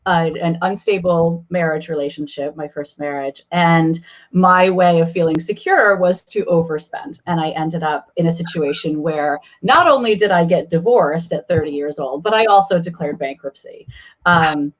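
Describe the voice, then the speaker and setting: A woman hosting an interview, talking openly and confidingly, with halting restarts. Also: deep voice. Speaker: podcast host. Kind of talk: casual interview chat. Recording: slightly dull lo-fi sound.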